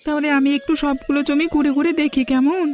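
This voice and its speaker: A woman's voice: high voice, fairly even intonation, quick pace, a little mellow, soft background music, lightly acted. A woman voicing a curious, trusting little village boy in a cartoon folk tale, with childlike delivery. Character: curious, trusting young son of a village landlord.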